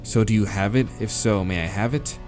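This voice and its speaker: Man playing blunt, low-voiced human protagonist. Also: brisk, a little mellow, faint music behind, natural voice. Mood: impatient. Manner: blunt, casual, impatient. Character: David, blunt, impatient human man, visual novel protagonist.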